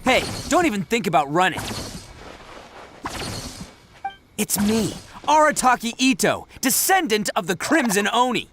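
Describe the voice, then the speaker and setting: A brash young oni gang leader from a video game, hot-headed and proud. His voice sits high and swings widely in pitch. He talks in a boisterous, slangy, theatrical way. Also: very animated, normal speed, fairly bright tone, a little hiss or hum. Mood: cocky, fired up.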